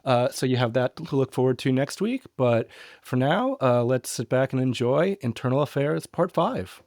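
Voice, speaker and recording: A man's voice: medium pitch, animated, normal speed, a little mellow, dry recording, studio quality. A man casually introducing an episode as a podcast host, talking off the cuff. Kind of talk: casual scripted-free intro chat.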